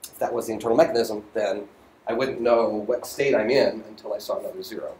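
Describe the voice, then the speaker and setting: A man giving an informal university physics lecture, halting as he thinks aloud. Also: on the low side, fairly even intonation, a little mellow. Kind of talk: lecture voiceover on slides, informal explaining. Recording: roomy echo, faint background noise.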